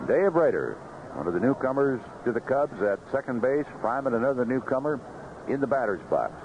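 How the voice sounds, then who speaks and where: Animated baseball radio announcer, man, noisy vintage broadcast. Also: medium pitch, a little fast, highs cut off. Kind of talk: live play-by-play sports commentary. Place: outdoor ballpark broadcast booth.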